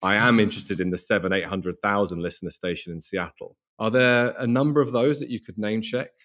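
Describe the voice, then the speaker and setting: A man's voice: lowish voice, fairly quick, fairly bright tone. A man hosting a podcast interview, chatty and playful, asking wordy questions in informal language.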